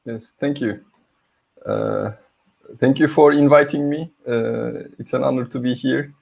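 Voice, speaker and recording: Gravelly man with a Turkish accent. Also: mid-range voice, fairly even intonation, a little slow, a little mellow. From Turkey. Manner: hesitant, many fillers, friendly. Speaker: conference speaker, university lecturer. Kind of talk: online tech talk presentation. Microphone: video call.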